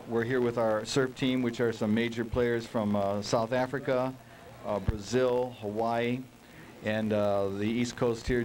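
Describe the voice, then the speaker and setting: A man being interviewed as a board-making guest, talking in a relaxed, rambling, earnest way. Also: mid-range voice, distant mic, slight background noise, poor audio. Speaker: surfboard maker guest. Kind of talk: casual interview.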